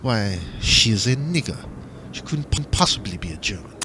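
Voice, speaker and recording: A man's voice: on the low side, big pitch swings, moderate pace, noisy background. A man voicing a nasty, leering officer in uniform, taunting and insinuating, in an audiobook. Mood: leering menace. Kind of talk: audiobook character dialogue.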